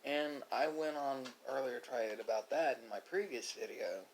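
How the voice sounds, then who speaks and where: Man musing slowly in a halting monologue. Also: medium pitch, slight background noise, poor audio. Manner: philosophical, halting, with pauses and self-corrections. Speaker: ASMR video creator. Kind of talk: unscripted musing monologue to viewers during a mukbang.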